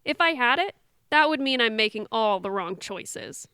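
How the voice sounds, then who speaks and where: Bright, lively sarcastic grown daughter. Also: female voice, fairly high pitch, normal speed, smooth, dry recording. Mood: sarcastic, defiant. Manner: dry, exasperated sarcasm. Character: sarcastic, defiant grown daughter and sister returning home. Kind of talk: audio theatre acting.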